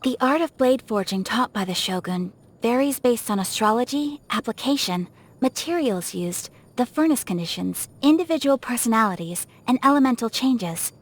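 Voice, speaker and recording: A woman's voice: on the high side, lively intonation, normal speed. A woman voicing a refined, reserved young noblewoman of a samurai clan in a video game, speaking formally and politely with poise. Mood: formal, measured. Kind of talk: scripted game character voice lines.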